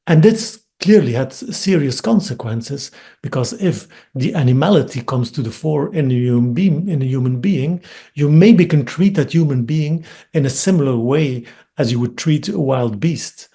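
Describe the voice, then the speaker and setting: A man from Belgium with a Flemish Dutch accent, giving a webinar lecture over a video call, thoughtful and hesitant with frequent pauses and repeated words. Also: fairly high pitch, creaky, dark tone. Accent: Dutch-speaking Belgian. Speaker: academic speaker. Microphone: computer mic on video call.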